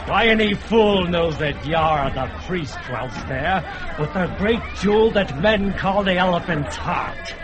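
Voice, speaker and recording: A man's voice: sits high, lively intonation, a little slow, a crowd in the background. An actor playing a fat, boastful male kidnapper in a radio drama, bellowing and jeering with frequent laughter. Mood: mocking.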